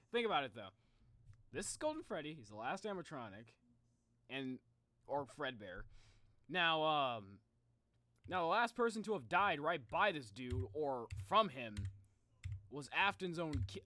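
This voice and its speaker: A young man streams his reactions in a highish, bright voice. His pitch jumps around a lot as he rambles and thinks aloud in casual, animated commentary. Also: no echo. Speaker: live streamer reacting to game videos. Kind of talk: casual livestream commentary and speculation.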